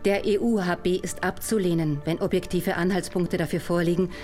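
A precise Austrian lawyer, acted by a woman, cites the law in a formal, legalistic way. Her voice is on the lower side, with light music behind. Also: fairly even intonation, moderate pace, darkish tone, natural voice.

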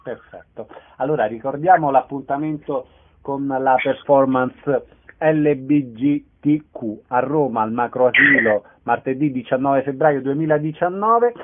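A man's voice: medium pitch, measured pace. A man hosting a casual radio interview, asking questions and making announcements. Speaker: interviewer, host. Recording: noisy, tinny telephone-like line with clicks.

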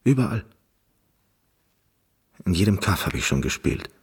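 An actor playing a neurotic, fragile, obsessive concert pianist in a radio play, with a halting, fussy manner. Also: male voice, sits low, big pitch swings, slightly rough, heavily acted. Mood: weary, brooding. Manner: halting, stammering, fussy, self-absorbed.

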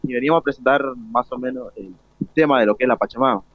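A teenage Argentine man answers interview questions hesitantly, with an average pitch for a male voice. The recording is dry and lo-fi, like a radio link. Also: quick pace, dry recording. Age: teenage student. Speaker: student being interviewed. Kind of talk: informal explanation in interview. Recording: dull lo-fi radio broadcast link.

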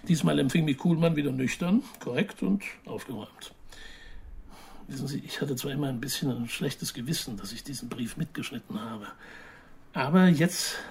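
An actor playing a measured, sceptical journalist in a radio play, speaking reflectively with pauses. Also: male voice, medium pitch, creaky, lightly acted. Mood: pondering, sceptical. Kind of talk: radio play narration and dialogue.

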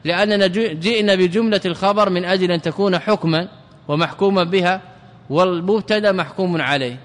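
A man teaching an Arabic grammar lesson as a religious scholar. He questions his students, answers himself, and chants verse lines slowly. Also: high-pitched, in a large hall.

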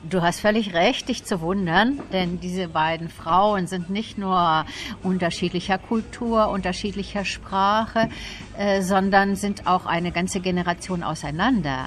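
A woman guest in a radio interview, explaining things in a thoughtful, detailed way. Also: lowish voice. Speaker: interview guest, literary event organiser.

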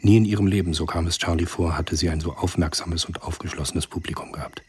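A man reading scripted narration for a German radio drama in a gravelly, husky voice. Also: sits low, steady tone, quick pace, darkish tone, no echo.

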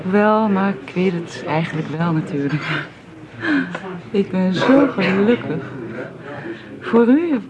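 A woman's voice: medium pitch, animated, takes it slowly, long pauses, a crowd in the background, poor audio. An actress playing a hesitant, earnest and deferential young woman in a Dutch radio drama. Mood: moved, shy.

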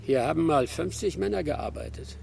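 A man's voice: on the high side, expressive, moderate pace, creaky, a little mellow, slight background noise. An older man acting in a German radio drama as a terse, stubborn, weathered ex-logger. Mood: terse, determined. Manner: terse, unflustered.

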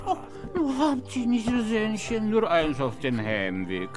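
A man's voice: high voice, animated, a little slow, music in the background. An actor playing a pleading, easily frightened male tavern drunkard in a radio drama, rambling and emphatic. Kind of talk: German radio drama acting.

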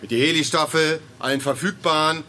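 An actor playing a bossy, cynical police officer briefing a team in a radio play, commanding and slangy. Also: male voice, on the high side, brisk, sharp tone, slight background noise. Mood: dismissive.

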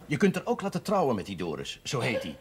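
Levendige, vriendelijke katholieke priester. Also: male voice, medium pitch, huge pitch swings, quick pace, a little hiss or hum. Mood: calm, persuasive. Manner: informal, reasoning, gently wry. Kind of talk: naturalistic 1970s television drama acting. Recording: audio of 1970s television broadcast, music.

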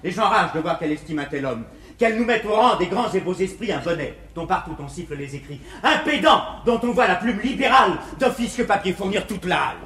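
An actor playing an earnest, exasperated young gentleman in a classical verse comedy, declaiming formally in 1950s stage style. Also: high voice, animated, fairly quick. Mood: exasperated, mocking. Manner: declamatory, formal, emphatic delivery of rhymed verse. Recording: echoey, hissy 1950s theatre recording.

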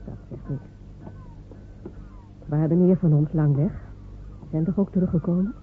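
A woman's voice: deep voice, measured pace. A woman playing proud, waiting wife Jemima. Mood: firm. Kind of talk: radio play acting. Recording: narrow phone-like radio recording with faint hiss.

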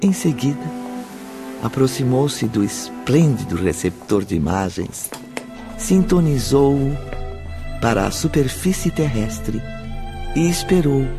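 A man narrating a radio drama from a script in a measured, solemn, emphatic way. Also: medium pitch, lively intonation, creaky. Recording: faint music behind. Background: soft background music.

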